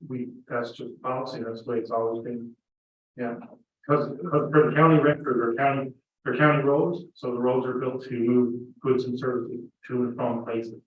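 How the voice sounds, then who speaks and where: A man with an Irish accent speaks in an even, little-varying tone, answering as a county engineering director at a meeting. He sounds far from the mic in a very echoey room, slightly muffled. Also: medium pitch, moderate pace, a little hiss or hum. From Ireland. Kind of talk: informal answers at a council meeting. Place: meeting room heard over a video meeting.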